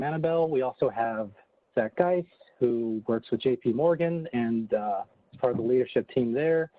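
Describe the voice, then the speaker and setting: A man's voice: mid-range voice, no echo. A man hosting a virtual panel, introducing the guests with many hesitant fillers. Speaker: panel host. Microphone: video call. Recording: tinny phone-like video call audio.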